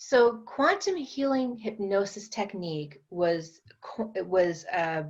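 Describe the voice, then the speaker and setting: A woman guest on a podcast interview, explaining her energy work in an unhurried way, with many fillers and restarts. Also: mid-range voice, highs cut off. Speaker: guest, metaphysician and energy trainer.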